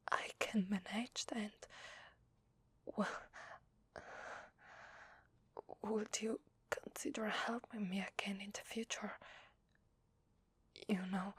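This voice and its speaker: A woman with an Italian accent voicing a shy, needy, grateful vampire lady in hesitant, breathy whispered ASMR roleplay, close to the microphone. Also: medium pitch, dry recording, full character voice. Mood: shy, hopeful. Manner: hesitant, soft, halting. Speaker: ASMR voice actor. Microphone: close microphone, dry.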